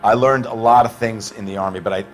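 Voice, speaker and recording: A man playing an anxious, defensive working-class murder suspect in a crime video game, halting and pleading. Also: on the low side, steady tone, faint music behind, natural voice. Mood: uneasy. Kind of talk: dramatic interrogation acting.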